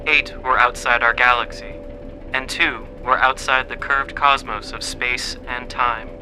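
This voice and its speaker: A smooth, formal male conspirator, played by an actor, mid-pitched and persuasive. His measured radio drama lines sit over clear background noise. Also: fairly even intonation, natural voice. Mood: courteous, persuasive. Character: smooth-talking conspirator luring recruits for aliens.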